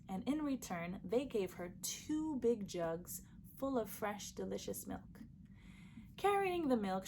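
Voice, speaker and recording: A woman reading a folktale aloud for children, lively and shifting her voice for each character. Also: mid-range voice, a little hiss or hum. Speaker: storyteller. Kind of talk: storybook read-aloud for children.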